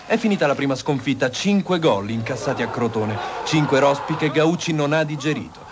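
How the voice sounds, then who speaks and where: A man's voice: mid-range voice, animated, brisk. A man narrating a scripted television sports news report. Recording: noisy degraded old TV tape.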